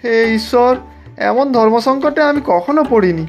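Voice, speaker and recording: A woman's voice: a little high, animated, normal speed, soft background music, lightly acted. A woman voicing a humble, folksy village tea-seller uncle in a cartoon, given to exclamations. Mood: anxious, resolving. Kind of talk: animated cartoon dialogue.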